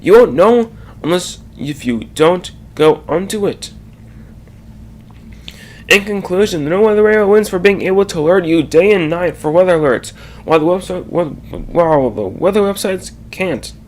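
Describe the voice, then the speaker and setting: A man narrating a scripted slide presentation in a halting way, often stumbling and correcting himself. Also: high voice, expressive, darkish tone.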